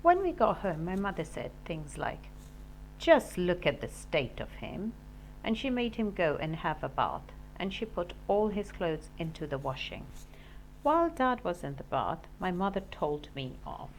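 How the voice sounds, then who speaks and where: A woman narrates a children's story like a teacher reading to a class. Her delivery is dramatic and animated, with lively swings in pitch. There is faint noise in the recording. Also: moderate pace, poor audio.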